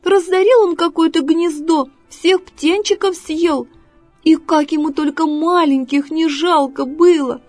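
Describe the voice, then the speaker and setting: A woman voicing a sly female fox from a storybook, cooing and flattering in a wheedling way, with music behind. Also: very high-pitched, measured pace, dry recording, pitched above the performer's own voice. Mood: feigned sympathy. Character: sly, sweet-talking female fox, quick to turn shrill.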